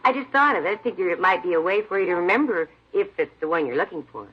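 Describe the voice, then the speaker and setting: An actress playing a hesitant but talkative department store clerk in a 1950s radio drama, tentative and folksy. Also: female voice, on the low side, lively intonation, fast talker, husky. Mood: helpful. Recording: dull, noisy old-time radio recording.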